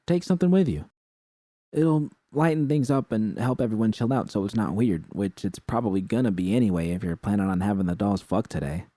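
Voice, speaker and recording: A man's voice: fairly low pitch, animated, fairly quick, warm tone, no room sound, clean studio sound. A man voicing a laid-back, teasing partner in a story, with casual banter.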